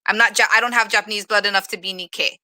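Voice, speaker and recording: A woman's voice: medium pitch, steady tone, rapid-fire, bright, forceful tone, no echo. A woman speaking earnestly and personally as a moderator in a reflective panel discussion.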